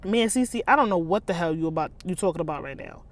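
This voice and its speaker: A woman's voice: fairly low pitch, animated, fast talker, lightly acted. Storybook gangster man read by a woman, American, short-tempered, contemptuous street slang. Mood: smug. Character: hard, menacing man, ex-convict street boss, short-tempered.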